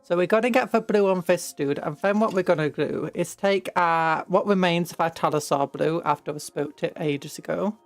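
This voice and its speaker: A young British woman with a croaky voice from hay fever, rambling playfully on a livestream while she paints miniatures, with restarts and sudden squeals. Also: fairly low pitch, normal speed, few pauses. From Britain. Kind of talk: casual livestream chat.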